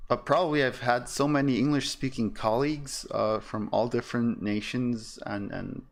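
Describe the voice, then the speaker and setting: A man's voice: medium pitch, fairly even intonation, moderate pace, creaky. A young man with a German accent who thinks aloud as he explains soldering at his workbench, with many fillers. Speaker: electronics streamer. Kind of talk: casual soldering tutorial.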